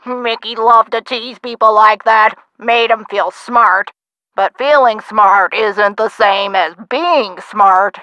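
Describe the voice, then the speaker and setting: A woman's voice: fairly high pitch, takes it slowly, sharp tone, no room sound. An actress playing a feisty older woman, a gangster's chatty former sweetheart in a video game, with theatrical, folksy old-time slang. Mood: musing, animated. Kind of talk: video game character dialogue.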